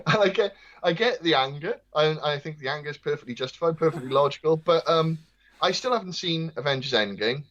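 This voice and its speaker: Soft-spoken Welsh man, lo-fi line. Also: on the high side, lively intonation, normal speed. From Wales. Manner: soft, light, lyrical and self-deprecating. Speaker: guest, comic artist. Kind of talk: casual chat.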